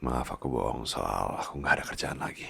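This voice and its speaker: A man with a low-pitched voice performs ASMR roleplay. He speaks in a hushed, unhurried, caring way, as in a bedtime story. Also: gravelly, breathy edge, no room sound.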